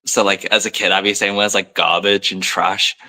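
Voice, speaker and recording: A young man from Liverpool with a low voice that still has a bright, forward edge. His accent is a soft English one with faint American touches. He talks in a loose, rambling way, heavy on fillers and modest asides. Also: flat delivery, normal speed, creaky. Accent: soft English, light Americanisms. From Liverpool, England. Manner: rambling, many fillers, self-deprecating. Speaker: professional wrestler, guest. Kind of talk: casual podcast interview.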